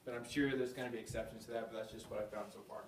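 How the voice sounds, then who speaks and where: A man teaching a basic language class, thinking aloud and spelling out words slowly. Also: medium pitch, fairly even intonation, recorded from a distance. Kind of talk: classroom lesson at a whiteboard. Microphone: camera at a distance. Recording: echoey room, voice from afar, faint hum.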